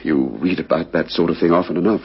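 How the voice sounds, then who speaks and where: An actor playing a nervy, evasive murder suspect in a radio crime drama, hesitant and defensive. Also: male voice, sits low, fast, slightly rough. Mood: shaken, uneasy. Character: evasive, nervy male friend of murdered gambler, under questioning. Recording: dull vintage radio recording.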